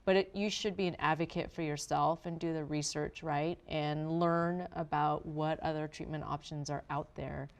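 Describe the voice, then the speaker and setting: A woman with a deep voice, hosting a casual talk show, chatty and laughing often. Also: fairly even intonation, moderate pace, few pauses. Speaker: host.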